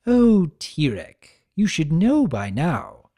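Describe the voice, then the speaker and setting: A man voicing a theatrical chaos spirit for a dramatic story reading, taunting yet sympathetic, with emphatic, drawn-out phrases. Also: on the high side, huge pitch swings, dark tone, exaggerated voice. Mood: sly, friendly.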